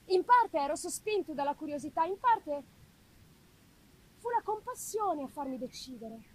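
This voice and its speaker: A woman's voice: high-pitched, expressive, moderate pace, darkish tone, rough recording. A woman reading a gothic novel aloud as a dramatic literary narrator. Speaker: reader, narrator.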